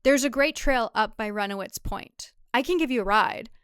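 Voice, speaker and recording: A woman's voice: fairly high pitch, normal speed, a bit bright, clean tone, no room sound, natural voice. Woman playing a folksy, pushy husband, boastful; dry close read. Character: smug, overly familiar male farm husband.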